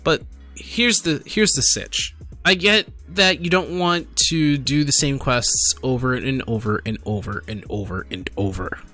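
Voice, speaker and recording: A man's voice: mid-range voice, measured pace, pushed and bright, faint music behind. A man giving casual, exasperated gaming commentary while reading posts aloud, repeating himself for emphasis. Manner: exasperated, incredulous, emphatic with repetition. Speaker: gaming streamer and host.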